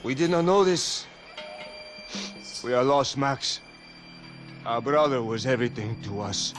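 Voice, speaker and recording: A man's voice: medium pitch, expressive, measured pace, soft background music, close to an everyday voice. A man voicing a desperate grieving rich man. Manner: anxious, pleading. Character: grieving wealthy male businessman, desperate.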